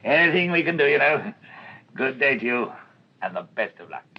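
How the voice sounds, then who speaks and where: Radio play colonel: older, gentlemanly, hearty and formal, husky lowish voice, muffled recording. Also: male voice, big pitch swings, normal speed. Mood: genial, obliging. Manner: hearty, formal, old-school courtesy. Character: genial, clipped, gentlemanly older male police colonel. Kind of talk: radio drama acting.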